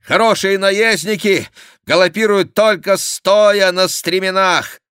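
Guy as a cocky gascon horseman in an audiobook, bossy and mocking, voice pitched up, crisp commands. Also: very animated, a little slow, fairly bright tone, dry recording, studio quality. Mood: commanding. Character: brisk, teasing Gascon horseman, coolly commanding. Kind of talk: audiobook character voice.

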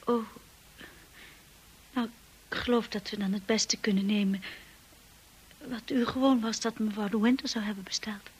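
An actress playing a shy, insecure young wife in a radio drama, with a hushed, breathy voice and a timid, hesitant way of talking. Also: female voice, fairly high pitch, fairly even intonation, normal speed, pauses often, rough recording. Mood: nervous, apologetic. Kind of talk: radio drama narration and acting.

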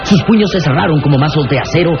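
Male narrator, theatrical scripted storytelling for vintage radio drama; dull recording with clear music behind. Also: fairly high pitch, expressive, fast.

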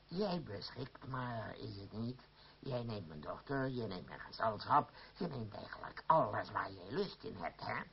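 An actor playing a possessive, sardonic middle-aged father and troupe boss in a radio play, with a deliberate, needling delivery. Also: lowish voice, sing-song, vocal fry, exaggerated voice. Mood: resentful, menacing. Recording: dull, hissy old radio recording.

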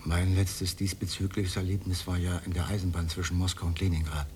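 Radio drama role, for a man: lonely, pedantic old idealist, deep and solemn, slightly noisy recording. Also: flat delivery, a little fast, darkish tone, close to an everyday voice. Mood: earnest, reminiscing. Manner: solemn, formal, wordy. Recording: faint background noise, old radio recording.

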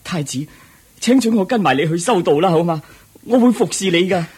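A husky, pleading devoted servant. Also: male voice, high voice, lively intonation, brisk, a little mellow, heavily acted. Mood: pleading, troubled. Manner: pleading, deferential. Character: devoted anxious male servant and charioteer to a prince. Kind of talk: Cantonese radio drama acting.

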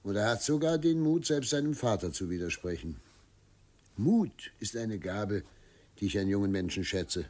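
A man playing an authoritarian middle-aged governor and father in a radio drama, speaking in a measured, declamatory and patronizing way. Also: fairly low pitch, lively intonation, a little mellow. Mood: confident, patronizing. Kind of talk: German radio drama acting.

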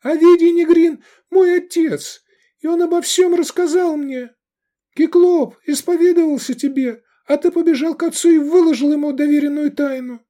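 Man voicing a high-pitched, impassioned young woman. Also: steady tone, moderate pace, warm tone. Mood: desperate, accusing. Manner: crying out, emotional. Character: brave young woman, passionate, pleading, defiant.

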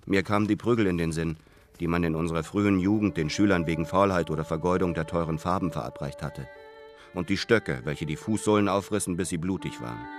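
An actor playing a brooding, lovelorn man who tells his story in a German radio play, measured and earnest. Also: low-pitched, music in the background, natural voice. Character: brooding, lovelorn returning man, former painter's apprentice. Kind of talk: literary first-person narration in German radio play.

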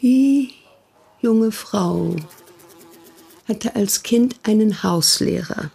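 A woman's voice: mid-range voice, drawn-out words, gravelly, mellow, slightly rough, full character voice. An actress playing an older, protective and prying sister who questions her brother reproachfully in a radio play. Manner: reproachful, probing, insistent.

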